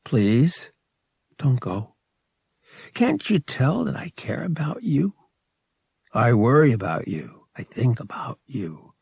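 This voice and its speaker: A man playing a cocky young male space pilot in an audiobook, earnest and tender underneath, with a soft, slightly gruff delivery. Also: medium pitch, very animated, slow, pauses often, no room sound, clean studio sound, pitched above the performer's own voice, full character voice. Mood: pleading.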